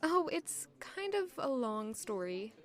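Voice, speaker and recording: A woman's voice: high-pitched, big pitch swings, takes it slowly, heavily acted. A woman playing a reserved, modest and wistful young palace maid in an audio drama, with a polite, understated way of talking. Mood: friendly, understated. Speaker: narrator and lead character of audio drama. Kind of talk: audio drama acting with first-person narration.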